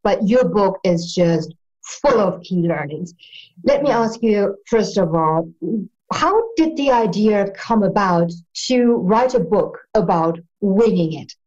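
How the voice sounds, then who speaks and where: A woman's voice: mid-range voice, lively intonation, takes it slowly, darkish tone, muffled recording. An older woman hosting an interview show, opening with theatrical rhetorical questions, in a studio with a plain backdrop. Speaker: host.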